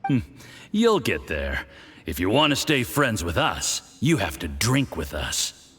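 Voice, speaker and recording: An actor playing a boisterous, goading drinker with a hearty, taunting manner and a menacing edge, in a video game. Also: male voice, on the low side, huge pitch swings, slow pace, fairly bright tone, big-room sound, soft background music, full character voice. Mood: smug goading.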